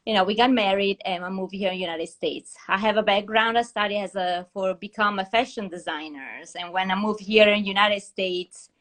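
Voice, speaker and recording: A woman's voice: mid-range voice, a little fast, hardly any pauses, a bit bright. Woman, Italian accent, chatty storyteller, live video call, restarts mid-sentence. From Italy (Tuscany). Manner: chatty storytelling with restarts and non-native grammar. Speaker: guest hairstylist. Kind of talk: casual live-stream interview. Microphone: phone in a live video call. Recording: compressed live-stream audio.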